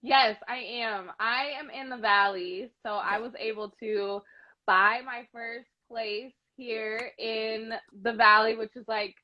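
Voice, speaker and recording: A woman's voice: on the high side, highs cut off. A woman from Sacramento, a sports TV host, chatting upbeat and playful as an interview guest over a video call. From Sacramento, California. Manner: upbeat, warm, playful teasing.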